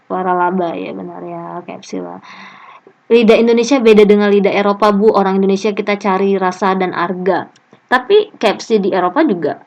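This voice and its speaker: A woman's voice: medium pitch, creaky. A woman lecturer leading an online class discussion, reading student comments aloud with a chatty, joking manner. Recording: muffled lo-fi online call audio.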